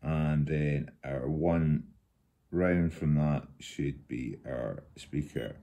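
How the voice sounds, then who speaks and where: Very deep-voiced, slow-talking man. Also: dark tone, highs cut off. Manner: unhurried, hesitant, thinking aloud while working. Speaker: hobbyist presenter of a workshop how-to. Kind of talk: informal hands-on tutorial narration. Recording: noticeable background noise while working.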